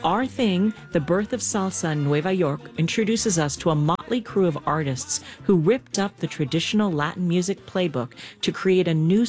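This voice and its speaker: A woman's voice: lowish voice, a little mellow. A woman hosting a radio interview program, reading scripted introductions and putting questions to guests. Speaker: radio show host.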